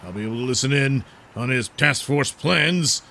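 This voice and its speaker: A man playing a brooding, self-important and bungling costumed vigilante with halting delivery in a comedic superhero audio serial. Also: mid-range voice, creaky, sharp tone, slight background noise. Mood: smug.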